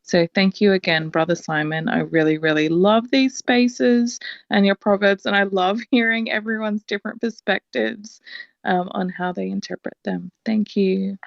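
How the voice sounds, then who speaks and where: A woman with an Australian accent, sharing reflective thoughts in a casual online discussion, with many ums and pauses. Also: medium pitch, fairly even intonation, creaky, dry recording, lo-fi sound. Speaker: guest contributor.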